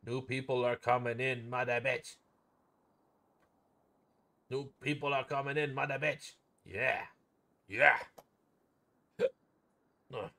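A man's voice: medium pitch, fairly even intonation, slow pace, long pauses, bright, forceful tone. A man chatting casually while streaming a game, swearing freely and repeating phrases playfully. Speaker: live streamer playing a roleplay game.

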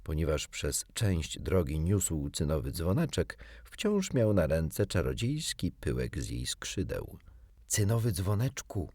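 A man narrating a children's story as an audiobook reader, in an expressive, theatrical way. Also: very deep voice, takes it slowly, few pauses, no echo.

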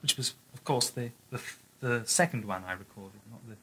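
A man reminiscing casually in an interview, in a halting, hesitant way. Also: mid-range voice, pushed and bright, slightly rough. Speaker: audio drama writer and contributor. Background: music.

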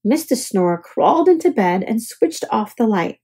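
A woman's voice: fairly high pitch, big pitch swings, moderate pace, dark tone, breathy edge. A woman storyteller narrating a children's picture book aloud.

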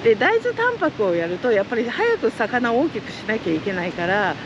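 High-voiced woman chatting outdoors by water. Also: brisk, dull audio, background noise. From Japan. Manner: lively, chatty and earnest, with many fillers. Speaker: trout farm representative. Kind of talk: outdoor interview. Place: outdoors beside fish ponds.

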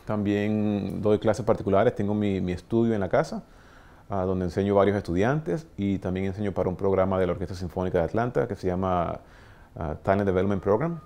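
A man in his thirties from Venezuela with a Venezuelan Spanish accent, an orchestral clarinetist introducing himself to camera in a small shop studio, speaking unhurriedly with many pauses and fillers. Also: sits low, gravelly, dark tone. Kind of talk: relaxed self-introduction to camera.

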